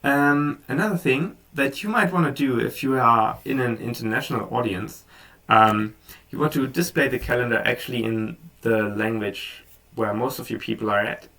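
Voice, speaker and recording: Gravelly-voiced German man narrating a tutorial. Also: medium pitch, normal speed, few pauses, far from the mic. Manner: relaxed, with frequent ums and restarts. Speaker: software tutorial presenter. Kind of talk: casual screen-recorded tutorial.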